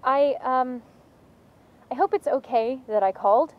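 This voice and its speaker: High-voiced nervous young woman, muffled call. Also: measured pace. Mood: nervous, hesitant. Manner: hesitant, questioning. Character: nervous, awkward young woman asking for help. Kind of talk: naturalistic audio drama phone call.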